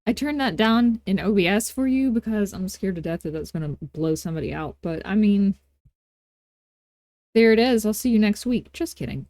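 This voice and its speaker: A woman hosting a casual, unscripted software tutorial, talking in a playful, folksy way with informal grammar and self-deprecating asides. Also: mid-range voice, animated, moderate pace. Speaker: tutorial host.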